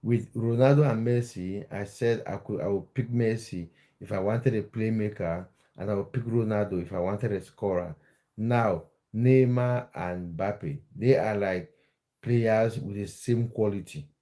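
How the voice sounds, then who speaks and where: Streamer host, male, from Cameroon; fairly low, warm voice, relaxed chat with frequent restarts. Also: measured pace. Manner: chatty, restarts words, reads viewer comments aloud. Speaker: football livestream host. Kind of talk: casual live chat with viewers.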